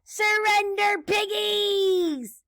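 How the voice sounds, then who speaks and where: A woman voicing a bossy, short-tempered witch from a children's storybook, with cackling, screeching, shouted demands. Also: very high voice, very slow, creaky, dry recording, clean studio sound, pitched above the performer's own voice, heavily acted. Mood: menacing, demanding.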